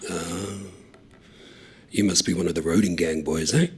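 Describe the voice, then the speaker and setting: A man voicing a gruff, blunt older male publican questioning a customer. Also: low-pitched, steady tone, unhurried, heavily acted. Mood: wary.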